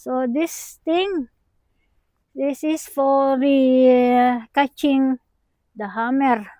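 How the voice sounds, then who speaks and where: A woman with a Filipino accent, a native Tagalog speaker, giving a chatty, halting travel-vlog tour outdoors by a windy harbor. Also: sits high, fairly even intonation, dark tone, no room sound, rough recording. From Philippines. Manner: chatty, halting, mixes in Tagalog, laughs often. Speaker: vlogger.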